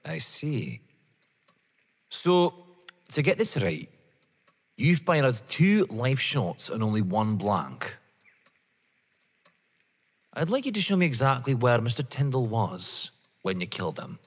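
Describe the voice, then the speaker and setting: A man acting in an audio drama as a dogged, stern police inspector, pointedly courteous, with a rough, husky voice. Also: medium pitch, big pitch swings, takes it slowly, pauses often, exaggerated voice. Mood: probing. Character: dogged, stern male police inspector, dryly polite and accusing. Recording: long echoing hall reverb.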